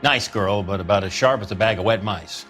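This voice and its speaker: A man's voice: lowish voice, a little fast, a bit bright, faint music behind, natural voice. An actor playing a slick, folksy used-car salesman in a video game, full of jokey patter and calling people son. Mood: breezy, wry. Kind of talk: video game character acting.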